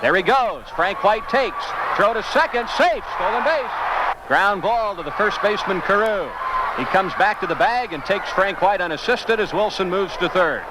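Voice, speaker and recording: A man's voice: high voice, lively intonation, normal speed, heavy background noise. An American man giving live baseball play-by-play commentary as a game announcer on an archival broadcast. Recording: archival broadcast, loud crowd and music. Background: loud crowd and music.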